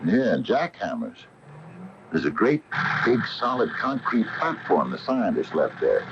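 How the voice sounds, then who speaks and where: Low-voiced, uneasy radio-play man. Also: huge pitch swings, unhurried, vocal fry, exaggerated voice. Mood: puzzled, intrigued. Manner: stammers when rattled. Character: uneasy small-town store owner man, baffled and stammering. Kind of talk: radio drama acting. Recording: muffled, noisy 1980 radio broadcast tape.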